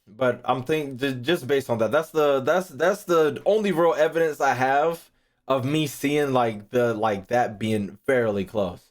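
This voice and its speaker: A man's voice: mid-range voice, a little slow. A man streaming casually, thinking aloud in a rambling way and swearing freely as he chats. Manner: rambling, foul-mouthed.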